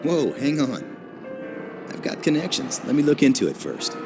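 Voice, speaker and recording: Lively, hurried young fighter, music behind. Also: male voice, mid-range voice, vocal fry. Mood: urgent. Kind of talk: video game cutscene acting. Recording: game cutscene with music behind.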